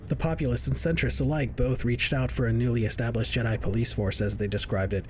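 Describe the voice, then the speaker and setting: Guy reading narration for a space audio drama, normal pitch, faint ambient noise behind. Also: darkish tone.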